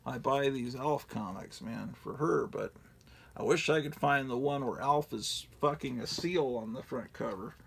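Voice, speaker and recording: A man's voice: medium pitch, measured pace, a little hiss or hum. A middle-aged man in a casual, rambling show-and-tell, profane and digressive with a self-mocking streak. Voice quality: gravelly.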